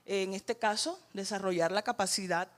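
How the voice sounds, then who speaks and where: A woman's voice: mid-range voice, normal speed. A woman from Cuba with a Cuban Spanish accent, leading a teacher training workshop and checking in with her audience as she goes. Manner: guiding, checks in with "¿verdad?" and "ujú".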